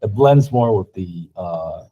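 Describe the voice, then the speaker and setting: A man's voice: sits low, animated, takes it slowly, warm tone. A man giving a student feedback in class, talking casually and searching for words. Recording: dull lo-fi recording.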